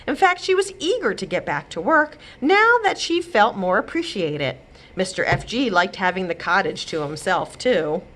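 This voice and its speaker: A woman narrating an early chapter book aloud in an expressive storytime style. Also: fairly low pitch. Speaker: children's library read-aloud reader.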